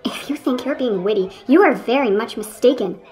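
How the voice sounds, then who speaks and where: A pompous, irritable man acted by a woman in a radio play. The voice sits high and rises and falls sharply. The delivery is condescending and indignant, scolding a friend with fussy precision. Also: lively intonation, fairly quick, darkish tone, natural voice. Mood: exasperated.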